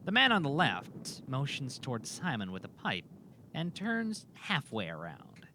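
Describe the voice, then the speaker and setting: A man's voice: mid-range voice, huge pitch swings, measured pace, pushed and bright, a little hiss or hum. A man narrating a scripted audio drama in an informal, amateur reading style.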